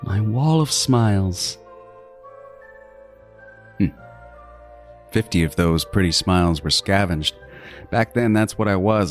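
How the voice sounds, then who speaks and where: An actor playing a meticulous, self-styled artist serial killer in a horror audio drama, savoring his words theatrically with swooping emphasis. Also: sits low. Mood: proud, reflective. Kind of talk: first-person horror audio drama monologue.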